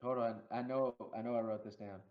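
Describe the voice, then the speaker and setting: A man co-hosting a casual movie review podcast, animated and laughing often as he acts out lines from the film. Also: medium pitch, brisk, lo-fi sound. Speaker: podcast co-host.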